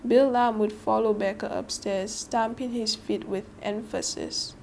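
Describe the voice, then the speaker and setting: A woman's voice: mid-range voice, fairly even intonation, unhurried, creaky, dark tone. A teenage girl with a Saint Lucian accent reading prepared text aloud in a careful, emphatic way, with some background noise. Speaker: student reader. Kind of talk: scripted reading aloud and commentary.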